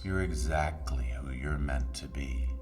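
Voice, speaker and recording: Male hypnotist for scripted trance audio: deep pitch, unhurried deliberate phrasing, intimate and commanding. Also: over music.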